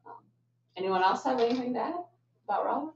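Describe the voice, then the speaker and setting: A woman's voice: on the high side, animated, quick pace, creaky, far from the mic, a little hiss or hum. A young adult woman hosting a webinar in a friendly, upbeat way with lots of "um"s. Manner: upbeat, with frequent "um" fillers. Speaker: webinar host, international affairs staff. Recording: muffled, roomy, from off the mic.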